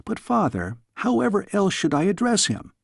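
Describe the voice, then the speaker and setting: A man's voice: high-pitched, wildly swooping pitch, moderate pace, gravelly, slightly rough, no room sound, studio quality, voice pushed up, exaggerated voice. A man voicing a young princess, an earnest, chatty and headstrong daughter in a storybook. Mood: breezy, chatty.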